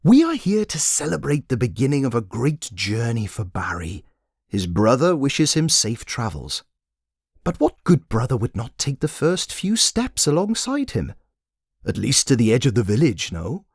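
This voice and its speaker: Whimsical, childlike mystic guide for an audiobook, performed by a man: serene, riddling, unhurried, with widely swinging pitch. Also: very animated, creaky, husky, dry recording, studio quality, full character voice. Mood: ceremonious. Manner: slow, ceremonious, riddling.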